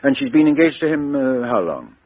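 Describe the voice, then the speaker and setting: Radio play policeman: British, methodical, husky, with lively intonation and a dry, close sound. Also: medium pitch, a little fast, no echo. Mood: businesslike. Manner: methodical questioning with hesitant lead-ins. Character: businesslike, methodical male British police inspector. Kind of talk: radio drama acting. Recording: dull, lo-fi radio drama recording.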